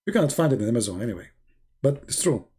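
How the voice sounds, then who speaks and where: A man hosting a livestream, rambling in a mocking, joking tone with a gravelly voice. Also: mid-range voice, big pitch swings, very fast, dark tone. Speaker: livestream host. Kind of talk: casual livestream chat.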